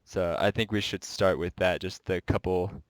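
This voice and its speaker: Casting: congested, nasal young male co-host with a deep voice, dry close sound, rambling delivery. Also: fairly even intonation, normal speed, dry recording. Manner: rambling, restarts sentences often. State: sick and stuffed up. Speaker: podcast co-host. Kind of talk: casual gaming podcast chat.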